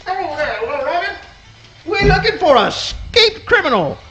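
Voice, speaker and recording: Someone doing a timid lil possum guy, high bouncy voice, folksy southern swamp talk, radio comedy. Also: sing-song, normal speed, pushed and bright, full character voice. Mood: reluctant. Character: gentle, timid, homebody male possum. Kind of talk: live comic radio play reading.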